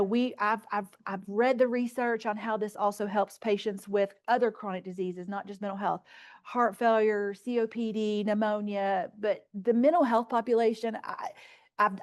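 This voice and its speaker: A woman with a mid-range voice gives a healthcare conference talk. She speaks in an earnest, plain-spoken manner, moving between stories and results in informal language. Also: medium pitch. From rural area. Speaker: healthcare presenter.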